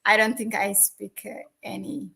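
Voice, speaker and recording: A woman from Ethiopia hosting an interview in English, her first language being Amharic, talking hesitantly with many pauses. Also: medium pitch, level tone, vocal fry, over a noisy crowd. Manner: hesitant, many fillers and restarts. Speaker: host.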